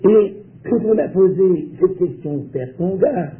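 Male preacher, unhurried sermon delivery built on pauses and rhetorical questions, thin lo-fi phone sound. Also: sits high, expressive. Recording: tinny phone-like line.

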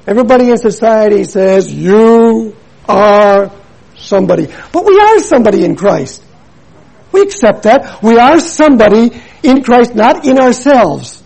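An older man delivers a sermon with a gravelly, husky voice. He is earnest and emphatic and hammers his points home through repetition and anecdote. His speech rises high and swings widely in pitch. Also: animated, a little slow, darkish tone, poor audio. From Milwaukee, USA. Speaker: preacher.

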